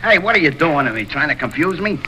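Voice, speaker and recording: An actor in an old radio drama playing a touchy, menacing hoodlum with a tough, slangy, exasperated manner. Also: male voice, on the high side, big pitch swings, quick pace, bright, forceful tone, low-quality recording. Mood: aggrieved. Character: touchy, menacing, easily baffled hoodlum killer. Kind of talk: 1940s-50s radio drama acting. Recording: vintage radio recording with hiss.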